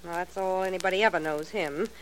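A woman's voice: lowish voice, quick pace, a little hiss or hum, lightly acted, rough recording. An actress playing a blunt, streetwise young woman who fears for her life, in a 1940s radio drama. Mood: tough. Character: tough, wisecracking young woman in danger.

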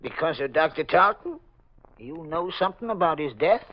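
A talkative American night watchman, gruff and folksy, with uneducated grammar. His highish voice jumps around in lively swings, in 1940s radio mystery style on a muffled old recording. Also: brisk. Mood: chatty, eerie. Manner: folksy, grumbling, uneducated speech.

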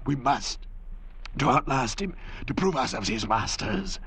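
A man playing an arrogant, intellectual doctor cast away at sea in old radio drama style, lecturing theatrically in a gravelly voice. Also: mid-range voice, very animated, a little slow, fairly bright tone, heavily acted. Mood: fervent. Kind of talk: 1940s radio drama acting. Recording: old radio recording with faint hiss.

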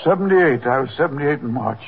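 A man's voice: medium pitch, expressive, fairly quick, lightly acted. An actor playing a weary, stubborn old man in a 1950s radio drama, plain-spoken and evasive. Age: older. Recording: noisy, tinny 1950s radio recording.

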